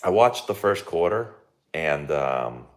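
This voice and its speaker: A man, hoarse and losing his voice, chatting casually on a live stream about the game, rambling with lots of fillers and restarts. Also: sing-song, slow pace. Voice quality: very deep and gravelly. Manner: rambling, filler-heavy with many likes and restarts. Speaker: baseball coach streaming live.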